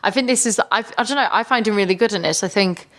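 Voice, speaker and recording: A woman with a medium-pitched voice co-hosts a relaxed review chat. She thinks out loud, qualifying what she says and then repeating herself for emphasis. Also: quick pace. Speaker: podcast co-host. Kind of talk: casual film review chat.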